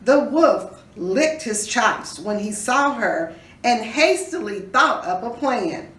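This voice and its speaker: Slow, echoey woman reading a storybook aloud. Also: a little high. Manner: expressive, teacherly, pausing to ask questions. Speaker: storybook reader for a children's reading program. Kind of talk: dramatic read-aloud with questions to young listeners. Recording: roomy echo, distant mic, faint noise.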